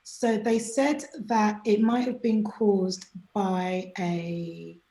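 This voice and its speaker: A woman's voice: mid-range voice, very slow, reverberant, poor audio. A woman as an interview guest, talking candidly and animatedly, sometimes acting out what other people said to her. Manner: chatty, animated, acts out quoted people.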